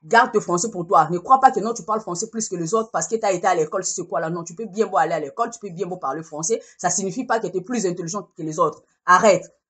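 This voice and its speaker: Husky, combative woman ranting. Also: on the low side, fast talker, fairly bright tone. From Congo. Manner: combative, scolding, repeats herself. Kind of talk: heated social media rant.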